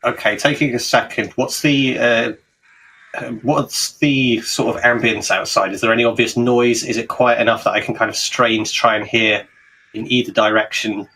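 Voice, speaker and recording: A man's voice: medium pitch, fairly even intonation, moderate pace, bright, forceful tone, slightly rough, low-quality recording. A man talking casually as a player in a tabletop roleplaying game, stating his actions and asking questions.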